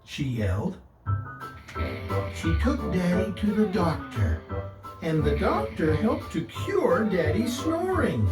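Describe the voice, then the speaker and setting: A woman narrating a children's picture book deliberately and expressively, with dramatic pauses. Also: fairly low pitch, very animated. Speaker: guest story reader. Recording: roomy distant voice under loud music. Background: loud instrumental music.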